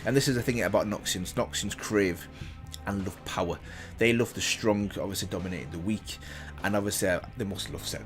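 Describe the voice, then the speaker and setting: A man's voice: lowish voice, fairly quick. A man reading a text aloud as a reaction video host, breaking off for casual comments of his own. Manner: casual asides while reading. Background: loud background music.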